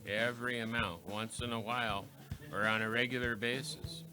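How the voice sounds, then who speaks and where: A male radio announcer delivers scripted promos in an old-time, theatrical style. His measured voice sits at a medium pitch, with music clearly playing behind him. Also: flat delivery.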